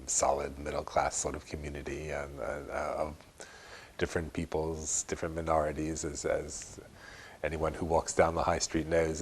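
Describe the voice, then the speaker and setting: A man's voice: a bass voice, vocal fry, a little hiss or hum. An older man speaking reflectively in an interview, hesitant and rambling, often correcting himself. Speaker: interviewee, long-time resident.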